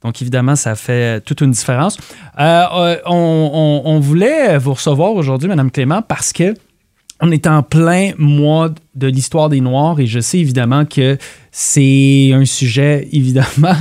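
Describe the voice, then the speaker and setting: A man's voice: on the high side, darkish tone, dry recording. French Canadian man hosting, dry studio sound. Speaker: radio interview host. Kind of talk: casual studio interview. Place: radio studio.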